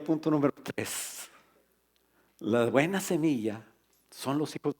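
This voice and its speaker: A middle-aged man, a pastor, preaching a lively sermon to his congregation in a church, with humor and asides to the listeners. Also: medium pitch, breathy edge.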